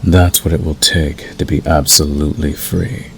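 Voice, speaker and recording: A man performs a werewolf lover in an intimate ASMR roleplay, possessive and quietly menacing, yet tender. His voice sits very low with a gravelly creak, coaxing and soothing at an unhurried pace. Faint noise lies behind it. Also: level tone. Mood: calm, menacing. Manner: slow, soothing, coaxing with dark undertone.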